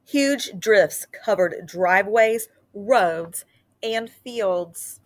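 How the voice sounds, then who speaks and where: A woman teacher reading a picture book aloud to her class in an expressive, sing-song way. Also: mid-range voice, very slow. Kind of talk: storybook read-aloud for children.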